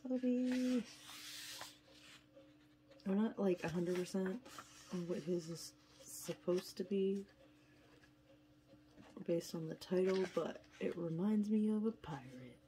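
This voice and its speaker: A woman's voice: on the low side, animated, takes it slowly, long pauses, mellow, a little hiss or hum, low-quality recording. A woman with a stuffy nose from a cold, chatting casually and a bit tiredly while unboxing at a table. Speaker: unboxing vlogger. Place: indoors at a table, filming hands from above.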